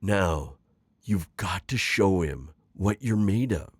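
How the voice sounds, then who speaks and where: A man's voice: low voice, lively intonation, dry recording, full character voice. A man playing a suave, manipulative magical villain mentor in an intimate ASMR roleplay, coaxing and teasing as he talks. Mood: delighted, encouraging. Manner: coaxing, smooth-talking, chuckling, drawn-out teasing phrasing. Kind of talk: ASMR roleplay acting, intimate one-sided dialogue.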